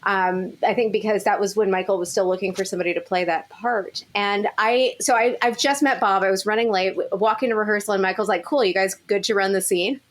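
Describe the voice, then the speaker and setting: A woman's voice: medium pitch, a little fast. A woman chatting casually in a group interview, giggly and talkative. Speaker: voice actor, interviewed cast member.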